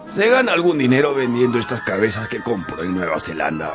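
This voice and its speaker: An actor playing an easygoing innkeeper at a sailors' inn in a radio drama, coaxing and matter-of-fact. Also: male voice, mid-range voice, animated, a little fast, close to an everyday voice. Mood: reassuring, coaxing. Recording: music and tavern crowd behind.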